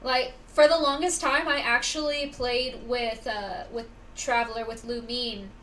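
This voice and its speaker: A woman livestreaming a game, chatting casually to viewers in a halting, musing, informal way. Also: a little high, steady tone, fairly bright tone. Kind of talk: casual chat to stream viewers. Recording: slightly distant mic, faint background noise.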